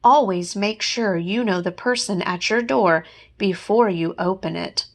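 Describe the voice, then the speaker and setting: A woman's voice: mid-range voice, measured pace. A woman playing a kind, sensible young boy who gives friendly, instructive advice in a storybook. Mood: praising.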